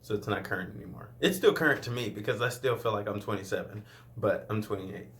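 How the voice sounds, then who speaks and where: A man in his late twenties from Louisiana talks in a somewhat low voice. He rambles casually through questions about himself, often breaking into laughs and asides, as if talking to a camera mic in his room. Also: fast, fairly bright tone. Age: 20s. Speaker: vlogger. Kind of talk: casual first-video Q&A.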